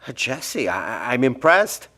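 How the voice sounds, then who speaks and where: A man's voice: mid-range voice, big pitch swings, slow, pushed and bright, full character voice. A man playing an anxious, self-justifying scientist with precise, formal diction in an audio drama. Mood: urgent, fearful. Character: blinded, guilt-free scientist doctor, anxious and urgent.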